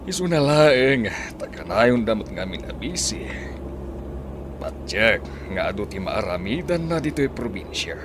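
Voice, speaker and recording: Earnest judge over vehicle noise. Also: male voice, fairly low pitch, sing-song, a little slow. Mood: weary, determined. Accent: Ilocano. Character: earnest, principled male judge, weary but determined. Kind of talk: Ilocano radio drama acting.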